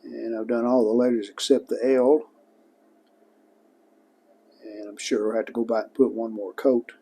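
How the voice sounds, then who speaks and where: A man's voice: mid-range voice, level tone, moderate pace, warm tone, breathy edge. A man casually narrating his own hands-on restoration work as a hobbyist host, in a plain-spoken, show-and-tell way.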